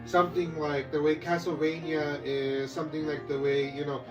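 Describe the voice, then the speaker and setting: A man's voice: fairly high pitch, level tone, normal speed. A man co-hosting a podcast in casual, slangy chat about movies and games, with plenty of swearing. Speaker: podcast co-host. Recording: roomy, distant mic with music behind.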